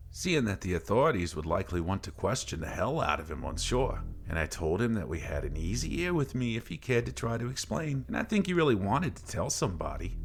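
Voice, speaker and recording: A man voicing a boastful drunken barfly, a former Coast Guard medic, telling coarse, rambling tales in a horror narration. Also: lowish voice. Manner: slurred, coarse, rambling talk.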